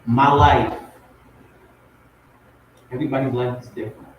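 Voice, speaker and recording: A man's voice: mid-range voice, fairly even intonation, measured pace, muffled recording. A man telling his own story informally as a guest poet, emphatic and repeating phrases for weight. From raised in Compton, California and Portmore, Jamaica. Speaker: spoken word poet, guest on a talk show. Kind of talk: personal testimony, informal storytelling. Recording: very echoey, distant, noisy audio.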